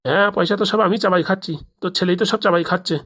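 A woman's voice: lowish voice, fairly even intonation, rapid-fire, a bit bright. A woman voicing a pleading, complaining older village uncle and henpecked husband in a comic cartoon. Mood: exasperated.

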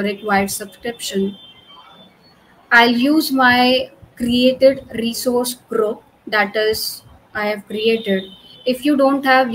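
A woman's voice: fairly high pitch, low-quality recording. A young woman presenting a cloud tutorial over screen share, explaining patiently and leaning on "okay" and "you know" between steps. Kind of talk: screen-share technical walkthrough.

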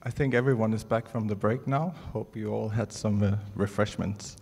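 A middle-aged Danish man with a Danish accent, giving a prepared artist's talk on stage in a halting, measured way. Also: on the low side, steady tone, gravelly, darkish tone, breathy edge. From Denmark. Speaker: artist presenting at a conference. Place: large indoor theatre stage. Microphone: handheld microphone. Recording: reverberant auditorium PA sound.

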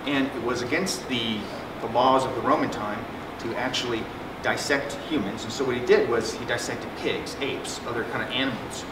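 A man's voice: mid-range voice, steady tone, moderate pace, few pauses. A middle-aged man, a psychiatrist, giving a conversational conference talk with jokes and many fillers. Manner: conversational, joking, frequent fillers and restarts. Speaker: psychiatrist presenting at a symposium. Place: conference room with projector screen. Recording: echoey room, distant mic, air conditioning hum.

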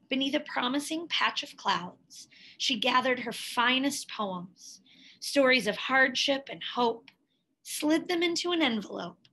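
A woman reading a picture book aloud expressively, in a measured way with dramatic pauses and emphasis. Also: fairly high pitch, creaky, a bit bright, husky, low-quality recording. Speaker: read-aloud storyteller, teacher.

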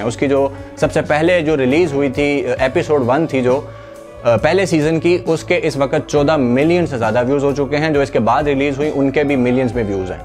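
Young pakistani guy explaining news to camera, chatty, lapel mic, soft music behind. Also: medium pitch. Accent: Pakistani Urdu. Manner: conversational, many fillers, addresses viewers directly. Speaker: news channel presenter. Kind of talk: explanatory current-affairs commentary. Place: studio in front of a green screen. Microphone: clip-on lapel mic. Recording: faint background music.

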